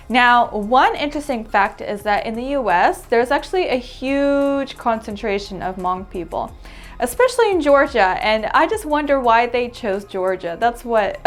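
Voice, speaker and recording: A woman speaks straight to her viewers in a relaxed, talkative vlog style, warm in energy and leaning on repeated words for emphasis. Her voice sits at an ordinary middle pitch. Soft music plays quietly beneath her. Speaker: vlog host and English teacher. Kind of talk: casual vlog talk to camera.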